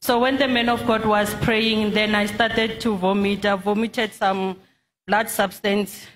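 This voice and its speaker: A woman gives a composed, clear testimony. Her voice is mid-pitched and echoes around a large hall. A faint crowd murmurs behind her. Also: flat delivery, a little slow, slight background noise. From South Africa. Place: large indoor church hall.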